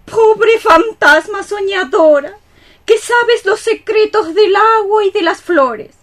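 A woman's voice: extremely high pitch, takes it slowly. An actress playing a grey-haired, protective mother cockroach in radio theatre, declaiming with fiery melodrama. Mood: pitying, lyrical.

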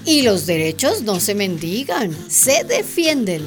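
A woman playing a supportive, principled mayor in a radio drama, with a measured, encouraging manner. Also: medium pitch, sing-song, over music.

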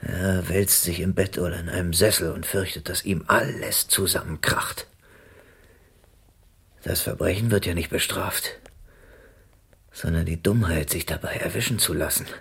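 Tired brooding private eye in a radio play, very husky and gravelly, short weary sentences. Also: male voice, sits low, steady tone, moderate pace, long pauses, a bit bright, heavily acted. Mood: weary, bitter. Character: exhausted, hunted private detective, stubborn and brooding. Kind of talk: German radio drama acting.

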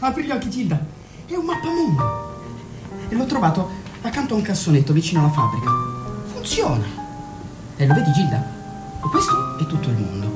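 A woman's voice: fairly low pitch, brisk, long pauses, loud music behind the voice. A woman voicing a restless teenage son in a radio play, bitter toward his mother and coaxing with his sister. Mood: gentle coaxing. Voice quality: expressive, pleading and mocking. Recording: roomy echo.

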